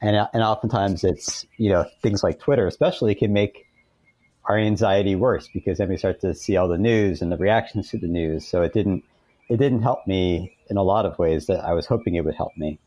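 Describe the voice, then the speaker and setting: A man's voice: low-pitched, a little fast, mellow, no echo. A man speaking as an author guest in a reflective, conversational interview.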